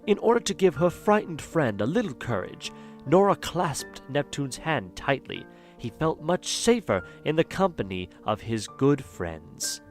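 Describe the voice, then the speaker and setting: A man's voice: a little high, sing-song, unhurried, soft background music. A man playing a wry, mocking, lonely spirit who narrates an audio drama in a theatrical manner. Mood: storytelling.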